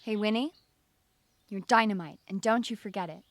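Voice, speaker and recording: A woman playing an outspoken, warm-hearted young American wartime worker in an audio drama, chatty and emphatic. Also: medium pitch, normal speed, lightly acted. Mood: warm, encouraging.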